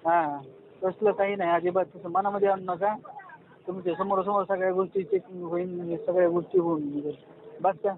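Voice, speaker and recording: A man's voice: high voice, lively intonation, normal speed, creaky, noisy background. A man with a Marathi accent from Maharashtra talks casually on a phone call, repeating his instructions insistently. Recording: tinny, phone-like call recording.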